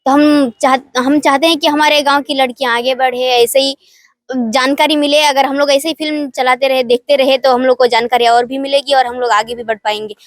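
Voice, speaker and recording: A young woman speaks in a high voice that stays very level in pitch. The sound is dry, and she offers her thoughts informally, as a participant reflecting aloud. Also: steady tone, a little fast, dry recording. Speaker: film festival participant.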